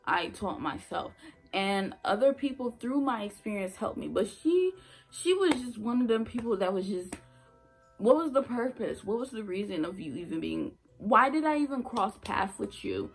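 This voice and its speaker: Gravelly young woman telling it straight. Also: mid-range voice, very animated, moderate pace, faint music behind, low-quality recording. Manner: emphatic, blunt, repeats points for effect. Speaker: former nursing assistant vlogger. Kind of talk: casual storytelling vlog.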